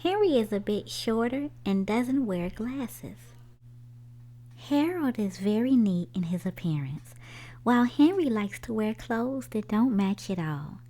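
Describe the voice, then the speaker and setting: A woman reading a children's picture book aloud in an expressive storytime style, putting questions to young listeners. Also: a little high, unhurried.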